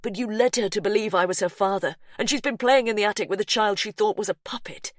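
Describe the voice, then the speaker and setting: A woman reading an audiobook, voicing a distressed young man who tries to stay calm and reason gently. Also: on the low side, moderate pace, no echo, clean studio sound. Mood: urgent.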